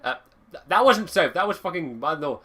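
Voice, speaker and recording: Young Lowland Scottish guy, game reviewer, bright and punchy, sweary and rambling. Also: expressive, brisk. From Scotland. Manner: enthusiastic, frequent swearing, restarts and fillers. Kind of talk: casual video game review monologue.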